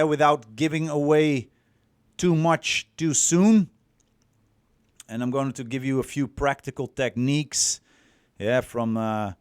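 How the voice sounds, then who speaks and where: A man's voice: mid-range voice, no echo, studio quality. A Dutch man with a Dutch accent, whose first language is Dutch, giving a rambling, profane, casual coaching talk on a livestream, full of fillers and restarts. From Netherlands. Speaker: dating coach.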